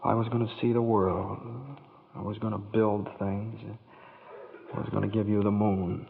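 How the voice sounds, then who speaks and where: An actor playing an earnest young husband who stammers when flustered, in 1940s radio acting. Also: male voice, fairly low pitch, little pitch movement, moderate pace, gravelly, long reverb tail, a little hiss or hum. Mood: despairing. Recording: tinny old radio broadcast.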